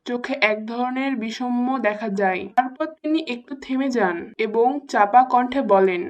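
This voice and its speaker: A woman narrates a scripted moral story in a fairly high voice. Light music plays behind her narration. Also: normal speed.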